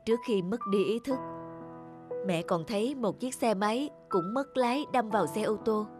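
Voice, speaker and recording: A woman voicing a weary, sad young woman in a wheelchair in an animated cartoon. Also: a little high, normal speed, over music, natural voice. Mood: tender. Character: young woman in a wheelchair, bitter then tender.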